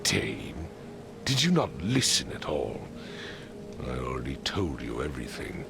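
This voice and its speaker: An actor playing a haughty, impatient middle-aged patrician father in a video game, speaking formally and curtly in a gravelly voice. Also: a bass voice, wildly swooping pitch, measured pace, background noise, full character voice. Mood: indignant, impatient. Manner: imperious, formal, curt. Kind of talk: dramatic video game voice acting.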